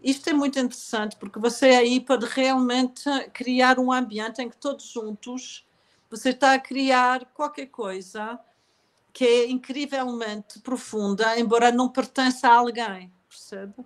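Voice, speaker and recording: Soft-spoken author. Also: female voice, fairly high pitch, steady tone, a little slow. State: lingering cough after illness. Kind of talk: conversational interview, then reading aloud from her novel.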